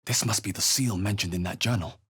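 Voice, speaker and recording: A man playing a wary, thoughtful fantasy adventurer in a video game, musing aloud in a measured, dramatic way. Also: lowish voice, fairly bright tone, husky. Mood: musing, watchful. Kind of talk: video game voice acting, short exploration remarks.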